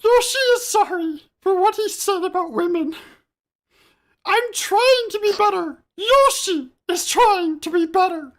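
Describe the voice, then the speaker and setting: A man's voice: very high voice, expressive, a little slow, a bit bright, pitched above the performer's own voice, exaggerated voice. A man voicing a small cartoon dinosaur who pleads and wallows in an overwrought, self-pitying mock apology. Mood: solemn, pleading. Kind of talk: mock YouTuber apology video improv.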